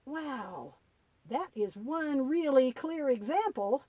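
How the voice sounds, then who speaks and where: A woman's voice: high-pitched, lively intonation, slow pace, dark tone, no room sound. A woman voicing an eager, curious young boy puppet in a children's puppet show, easily amazed and full of questions. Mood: curious amazement. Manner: wide-eyed, questioning, exclamatory.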